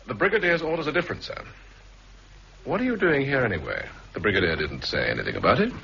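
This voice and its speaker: A man's voice: medium pitch, big pitch swings, fast, gravelly, pushed and bright, heavily acted. A man with a British accent playing a dutiful, coolly defiant army captain in a 1960s radio drama, firm, terse and formally polite. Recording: muffled, hissy 1960s radio recording.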